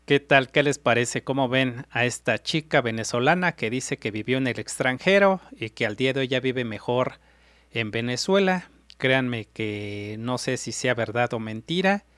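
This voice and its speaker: A man's voice: medium pitch, animated, moderate pace, a bit bright, dry recording. A middle-aged man hosting a reaction vlog, talking earnestly to his viewers and repeating his points. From Mexico. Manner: earnest, repetitive, addresses viewers directly. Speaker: reaction video host. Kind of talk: reaction commentary. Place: indoor room before a city backdrop. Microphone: large desk mic with in-ear monitors.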